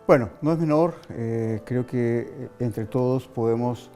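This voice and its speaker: A man's voice: medium pitch, measured pace, warm tone, faint music behind. A man with a Chilean accent hosting an interview, putting long rhetorical questions in an emphatic, oratorical way that piles up lists. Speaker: talk show host.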